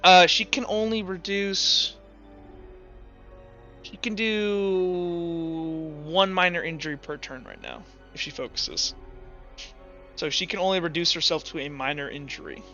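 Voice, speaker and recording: A man's voice: on the high side, expressive, measured pace, long pauses, sharp tone. A man running a tabletop roleplaying game as game master, explaining rules and scenes in a casual, rambling way with restarts. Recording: dull lo-fi voice with music behind.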